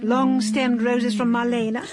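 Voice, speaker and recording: An actress playing a dry, devoted and disapproving English theatrical agent with a deadpan manner in a radio drama. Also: female voice, a little high, a little slow, over loud music, natural voice. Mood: anxious, wry.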